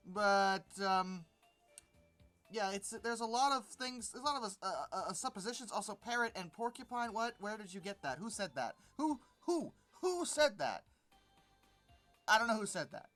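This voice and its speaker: Animated, sarcastic commentator with music underneath. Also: mid-range voice. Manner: exasperated, sarcastic, emphatic. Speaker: video host. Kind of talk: informal reaction commentary on a read-out article.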